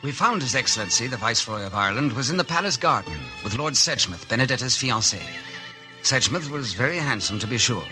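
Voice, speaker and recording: An actor in a 1950s radio drama playing a dashing, flirtatious Irish soldier of fortune, theatrical in both narration and dialogue. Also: male voice, medium pitch, animated, fast talker, close to an everyday voice, low-quality recording. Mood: jaunty storytelling. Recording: old radio broadcast with music underneath.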